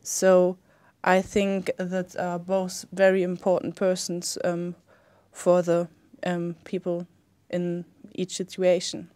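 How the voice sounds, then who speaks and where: A woman's voice: on the low side, monotone, slow, warm tone, clean tone, no echo. Young woman, German accent, hesitant, filler-heavy, dry close recording. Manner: hesitant, many ums. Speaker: exam candidate. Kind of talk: spoken exam answers.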